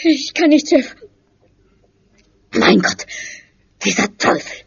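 Very shrill, sobbing, horrified stage actress. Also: female voice, lively intonation, takes it slowly, gravelly, heavily acted. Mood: hysterical horror. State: crying. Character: distraught stage actress and mother, horrified, near hysteria. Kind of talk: radio play acting. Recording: muffled, slightly noisy studio recording.

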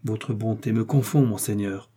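A man's voice: lowish voice, normal speed, dark tone, breathy edge. A man reading a proud, earnest young swordsman. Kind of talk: audiobook character reading.

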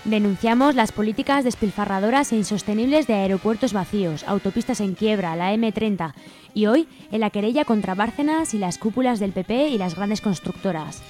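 A woman's voice: mid-range voice, fairly quick, a little mellow. A woman with an accent from Spain reading scripted narration as co-host of a radio show. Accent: Spain Spanish. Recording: music bed behind the voice. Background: music.

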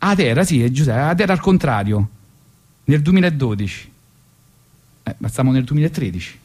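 A man's voice: medium pitch, lively intonation, fairly quick, darkish tone. A man with a Roman accent hosting a radio show in an ironic, colloquial comic monologue style, rambling and repeating himself.

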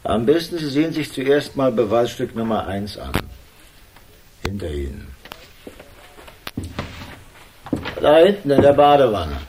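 An actor playing a condescending, menacing crime boss in a German radio thriller, curt, commanding and sardonic. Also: male voice, mid-range voice, big pitch swings, normal speed, noisy background.

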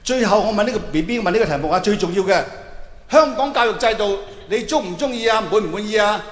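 A man's voice: high voice, fast. A man with a Hong Kong Cantonese accent doing live stand-up comedy, telling stories with mock dialogue and asking the audience questions. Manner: animated, mimics characters in mock dialogues, rhetorical questions. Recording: echoey hall with long reverb, faint noise.

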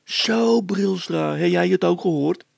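A cheeky storybook poacher boy, acted out by a man, pitched well up high. He is boastful and defiant in a colloquial way, and the sound is close and dry. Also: a little slow, creaky, a little mellow, no room sound, full character voice. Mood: mocking. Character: cocky, mocking young poacher boy.

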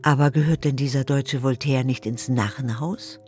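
A man's voice: medium pitch, steady tone, moderate pace, darkish tone, soft background music, close to an everyday voice. A male actor playing a disapproving, pompous and pious bourgeois critic, reading out his verdict in a radio play.

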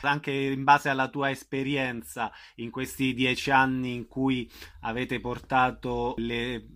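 A man's voice: mid-range voice, bright, forceful tone. A man in his fifties interviewing a guest with short, direct questions. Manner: brief prompting questions.

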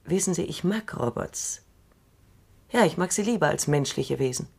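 An actress playing Doctor Calvin, a shrewd, dry and assured elderly woman robot psychologist, speaking in a measured, knowing way in a radio drama. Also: low-pitched, expressive, creaky, darkish tone, husky, exaggerated voice. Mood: wry.

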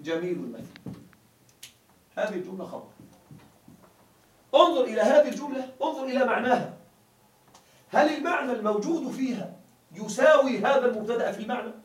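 A man with a high voice teaches a grammar lesson, heard from a distance in a reverberant classroom. He explains patiently step by step and checks understanding with questions. A faint hiss sits under the recording. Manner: explains step by step, quizzes students with rhetorical questions.

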